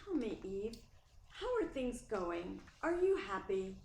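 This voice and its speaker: Woman playing a breezy, animated society wife. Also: mid-range voice, takes it slowly, slight background noise. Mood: friendly, reassuring. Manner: expressive, reassuring. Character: friendly, breezy, confident society wife and narrator. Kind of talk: radio play acting. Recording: roomy sound with faint music.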